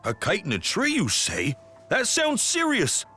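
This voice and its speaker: A fussy cartoon shopkeeper sells his wares with theatrical emphasis. His voice is bright and forceful, swinging wildly in pitch and sitting fairly high. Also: wildly swooping pitch, unhurried, soft background music, exaggerated voice. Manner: theatrical, emphatic salesman delivery. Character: excitable, fussy market bean merchant. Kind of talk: children's cartoon acting.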